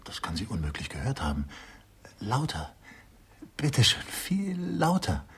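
An actor playing a gruff, baffled policeman in a radio crime play, grumbling in a very raspy voice. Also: lowish voice, huge pitch swings, a little slow, a bit bright, full character voice. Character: gruff, baffled male policeman who hates modern art. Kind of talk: 1990s German radio play acting.

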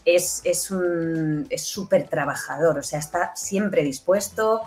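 A woman's voice: on the low side, takes it slowly, a little mellow, faint music behind. A woman giving chatty, emphatic answers as an interview guest, repeating herself for stress. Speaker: guest, audio fiction producer.

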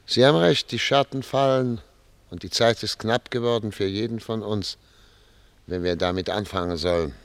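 A man's voice: lowish voice, moderate pace, no echo, lightly acted. A man playing a troubled, grave statesman in a German radio drama, formal and brooding in his speech. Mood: solemn, resolved. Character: troubled, grave male statesman addressed as Excellency.

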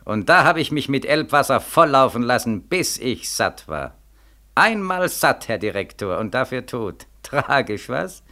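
An actor playing an embittered, desperate young soldier back from war, declaiming with bitter sarcasm in a 1940s radio play. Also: male voice, medium pitch, very animated, a little slow, sharp tone, exaggerated voice. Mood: bitter irony. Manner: impassioned, declamatory, bitterly sarcastic, insistently repetitive. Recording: vintage 1940s radio recording.

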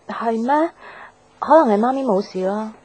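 Mellow young woman in a Cantonese radio romance. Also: fairly high pitch, expressive, normal speed, dry recording. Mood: businesslike, friendly. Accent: Hong Kong Cantonese. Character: gentle young career woman in love, narrating her romance. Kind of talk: radio drama narration and dialogue. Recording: music behind the voice.

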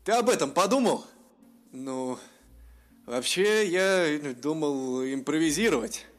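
A man's voice: fairly high pitch, lively intonation, takes it slowly. A man voicing a clumsy, good-hearted male ice dragon in a storybook reading, talking in flustered exclamations. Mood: unsure.

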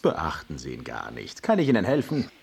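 An actor in a radio drama playing a sarcastic, easily exasperated older widower who helps reluctantly. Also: male voice, very deep voice, wildly swooping pitch, normal speed, vocal fry, darkish tone, a little hiss or hum, full character voice. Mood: dry, curt.

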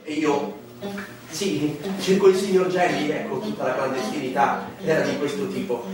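Echoey, high-voiced wry old newspaperman played by a man. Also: fairly even intonation, normal speed, a little mellow, close to an everyday voice. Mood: wry reminiscence. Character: elderly Italian newspaperman recounting his memories, wry and candid. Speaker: stage actor. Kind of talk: theatrical monologue. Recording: echoey stage, distant mic, music behind.

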